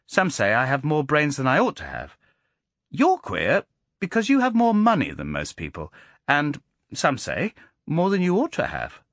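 A man's voice: on the high side, wildly swooping pitch, normal speed, fairly bright tone, dry recording, clean studio sound, pitched above the performer's own voice, heavily acted. A man voicing a precocious boy with a curiously precise treble, innocent and probing in manner. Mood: innocently needling.

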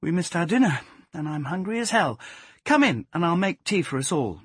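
An earnest young English woman from a storybook, as a male narrator puts her on. The voice is lifted in pitch and slightly husky, with brisk, emphatic phrasing and a tender side. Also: very animated, no room sound, studio quality. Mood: brisk, practical.

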